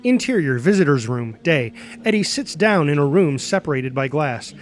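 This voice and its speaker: A man's voice: fairly high pitch, animated, normal speed, soft background music. A man narrating a screenplay, reading out scene headings and action as a scripted narrator. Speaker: narrator reading stage directions.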